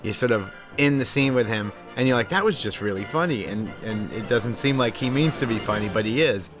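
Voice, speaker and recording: A man's voice: medium pitch, lively intonation, a little fast, music in the background. A man, a film director, talking casually and enthusiastically about casting his actors. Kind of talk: interview reflection.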